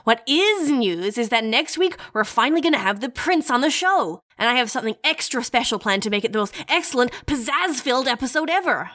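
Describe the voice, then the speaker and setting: A brash, high-voiced young superhero host. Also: female voice, lively intonation, fairly quick, hardly any pauses, fairly bright tone. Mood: breezy, eager. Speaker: host of an in-story news show. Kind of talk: audio drama acting, mock-epic radio narration.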